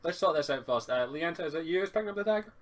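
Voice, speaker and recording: A man with a Scottish accent improvising narration as game master, in a halting, informal way. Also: a little high, expressive, a loud crowd. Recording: faint noise and clicks behind voice.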